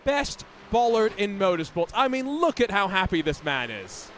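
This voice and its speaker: A man's voice: high voice, very animated, normal speed, fairly bright tone, a little hiss or hum. A man giving live sim racing commentary, chatty and rambling, with fillers and restarts.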